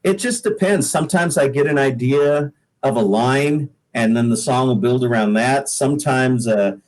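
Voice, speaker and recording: Male, 50s, American accent, mid-pitched voice, casual podcast guest with a joking, self-deprecating manner. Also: normal speed, a little mellow, poor audio. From Pacific Northwest, United States. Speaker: guest singer-songwriter. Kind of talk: casual podcast interview chat.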